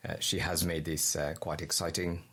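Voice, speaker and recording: A man with an extremely deep, husky voice talks on a podcast in a halting way, restarting his words often. His pace is relaxed and conversational. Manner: halting, many restarts and fillers. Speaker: podcast guest, college staff. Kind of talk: casual podcast chat.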